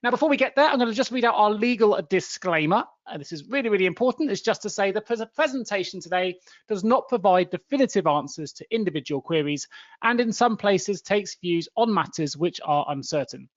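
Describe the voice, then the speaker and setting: Upbeat high-voiced male webinar host. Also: lively intonation, a little fast, no echo, lo-fi sound. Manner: upbeat, fluent presenter. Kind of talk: webinar hosting.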